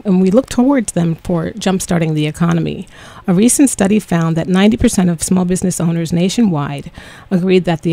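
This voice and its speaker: Low-voiced woman hosting a radio interview. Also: gravelly, mellow, no room sound. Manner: chatty, many ums, reads stats aloud. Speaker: radio talk show host. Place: radio studio.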